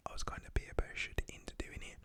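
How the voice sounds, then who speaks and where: A young man whispers a story in ASMR style. His voice is breathy and unvoiced, with a bright, crisp edge to the whisper. He talks loosely and informally, rambling along with plenty of slang. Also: quick pace. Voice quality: whispered, breathy voice without voicing.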